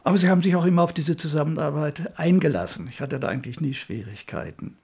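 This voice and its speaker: A man's voice: a little high, fast, a little mellow. An older man talking reflectively in an interview about his work as a radio director. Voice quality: husky, creaky. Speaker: retired radio drama director being interviewed.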